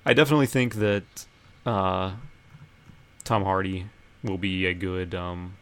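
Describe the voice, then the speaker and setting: A man's voice: low-pitched, steady tone, a little slow, fairly bright tone, clean tone. A man co-hosting a podcast, chatting casually about pop culture. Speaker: podcast co-host.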